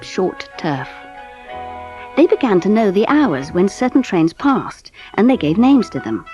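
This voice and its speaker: A woman with a British accent narrating a storybook in scripted, measured storytelling fashion. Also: mid-range voice, darkish tone. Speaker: audiobook narrator, speaking as the mother. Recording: slightly dull audio under music. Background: music.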